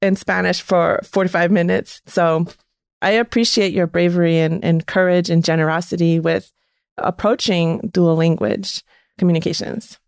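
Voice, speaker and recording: A woman's voice: lowish voice, fairly even intonation, moderate pace, vocal fry, clean tone, no echo, studio quality. A woman hosting an interview, speaking in an effusive, encouraging way. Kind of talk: podcast interview.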